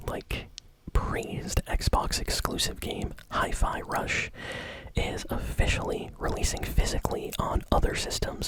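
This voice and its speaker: A person whispering casual, conversational gaming news in a soft, breathy ASMR style. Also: very high voice, low-quality recording.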